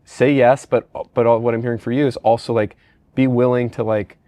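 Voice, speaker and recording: A man's voice: mid-range voice, flat delivery, a little fast, dark tone, no echo. A middle-aged man hosting a conversational podcast interview, casually asking questions and rambling through anecdotes. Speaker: podcast host, company leader.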